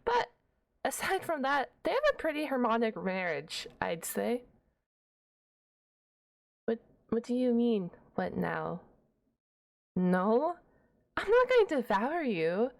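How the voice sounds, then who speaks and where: A woman's voice: fairly high pitch, sing-song, slow pace, gravelly, long pauses, full character voice. A woman playing a shy, nerdy half-dragon librarian in an ASMR roleplay, stammering and wordy, awkwardly defensive and a stickler for rules. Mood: matter-of-fact, prim. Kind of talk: ASMR roleplay audio drama.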